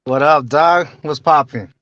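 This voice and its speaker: A man's voice: a little high, measured pace, a little hiss or hum. A man joining as an interview guest over a call, talking loose and laughing, with the odd curse. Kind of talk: casual chat over a call. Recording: dull, dry call audio.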